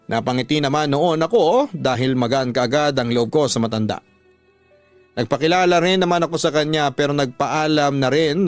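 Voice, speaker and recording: A man narrating a first-person radio drama story in an earnest, measured storytelling manner. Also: mid-range voice, dry recording. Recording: dry voice over music bed.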